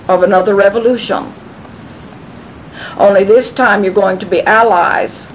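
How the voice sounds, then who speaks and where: A woman giving a rambling, emphatic conspiratorial talk, answering questions at a gathering, repeating phrases and restarting sentences. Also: dull audio. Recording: low rumble noise in background.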